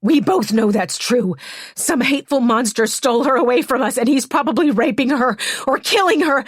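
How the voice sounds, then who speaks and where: A woman narrator voicing a furious adult woman who yells and curses in relentless accusations. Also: low-pitched, husky, no echo, studio quality. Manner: yelling, cursing, relentless accusations.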